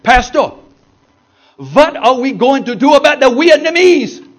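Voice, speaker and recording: A man voicing stern Pennsylvania Dutch deaconesses, shrill and scolding with a Pennsylvania Dutch accent. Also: sing-song, unhurried, exaggerated voice. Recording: dull lo-fi sound.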